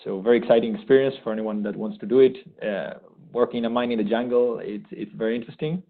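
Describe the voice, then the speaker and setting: A man, giving an eager, halting testimonial with many restarts. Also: medium pitch. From Argentina. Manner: enthusiastic, halting with frequent restarts. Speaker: graduate program participant. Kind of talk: unscripted testimonial. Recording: thin phone-like sound.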